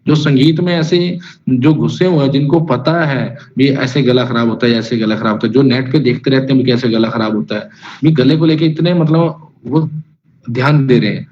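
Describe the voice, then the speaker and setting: A man's voice: medium pitch, normal speed, darkish tone. A man teaching an online singing class in a casual, rambling way, talking straight to his students and singing note names. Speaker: music teacher. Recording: lo-fi online class audio with faint noise.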